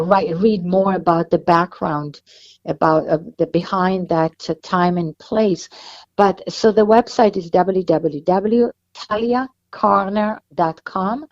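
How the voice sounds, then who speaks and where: Israeli-accented woman, author guest, mid-pitched, talks on and on, muffled line. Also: a little mellow. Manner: chatty, explains at length with restarts.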